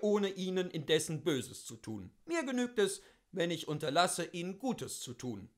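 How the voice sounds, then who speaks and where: A man reading as a witty, worldly philosopher of seventy-two, telling his memoirs in a theatrical, rhetorical and deliberate literary style. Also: sits high, a bit bright.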